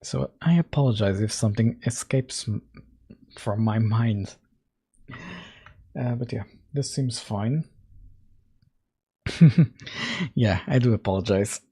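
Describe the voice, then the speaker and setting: A man with a hoarse, husky voice, worn from days of coughing, chats slowly and casually as he works through code. He sits close to the mic late at night and fills pauses with ums as he thinks aloud. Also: dark tone. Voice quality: husky, deeper than usual. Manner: slow, thinking aloud with fillers. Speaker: game dev livestream host. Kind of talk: casual coding livestream chat. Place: home room, at night. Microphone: close mic right in front.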